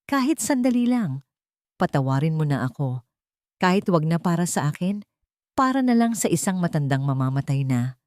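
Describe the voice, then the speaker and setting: A woman voicing a deceitful estranged husband, pleading softly and dramatically in a story. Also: deep voice, very animated, normal speed, no room sound, studio quality. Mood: sorrowful pleading. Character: pleading, deceitful estranged husband begging to see his son.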